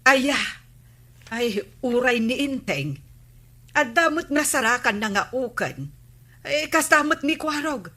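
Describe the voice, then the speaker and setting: An actress with an Ilocano accent playing a sharp-tongued, inquisitive village wife in a comedy drama. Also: female voice, sits high, expressive, slow pace, pauses often, exaggerated voice. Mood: chatty, eager.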